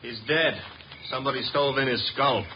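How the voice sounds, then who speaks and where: A breezy, wisecracking detective's sidekick, acted by a man, with a mid-pitched voice and a wry, flippant delivery. It is 1950s radio drama, muffled and full of hiss. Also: moderate pace, lightly acted. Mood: dry. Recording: muffled, very hissy old radio recording.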